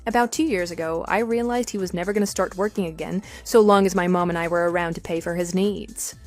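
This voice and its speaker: A woman's voice: lowish voice, steady tone, quick pace, faint music behind, natural voice. A woman playing a composed, matter-of-fact wife with a dismissive edge in a scripted audio drama. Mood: wry, mocking. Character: composed, wry wife divorcing her cheating husband.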